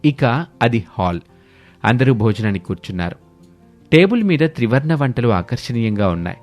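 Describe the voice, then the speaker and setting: A man's voice: mid-range voice, very animated, moderate pace, darkish tone, soft background music. A man reading scripted narration for a moral family story.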